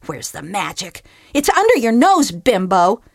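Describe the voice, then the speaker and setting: A woman voicing a sharp-tongued female enchanted groundhog who talks with blunt, sarcastic scolding in a storybook reading. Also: mid-range voice, animated, unhurried, no echo, studio quality, natural voice. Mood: exasperated.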